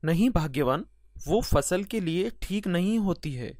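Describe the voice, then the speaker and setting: An actor playing a hardworking, weary farmer husband in a cartoon fable, with an earnest, gently chiding manner. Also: male voice, sits high, expressive, unhurried, no echo, clean studio sound. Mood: patient, chiding.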